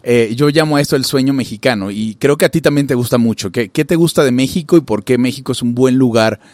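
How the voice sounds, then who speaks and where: Male interviewer from Venezuela, mid-pitched, chatty and filler-heavy, dry close sound. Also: fast talker, darkish tone, no room sound. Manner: chatty, loose questions padded with "eh" and "este". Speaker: podcast host, interviewer. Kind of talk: casual interview chat.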